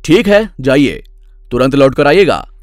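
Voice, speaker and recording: A man playing a stern but fair employer who moralizes in a measured, admonishing way in a cartoon story. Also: medium pitch, sing-song, no echo, clean studio sound, voice pushed up. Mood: concerned.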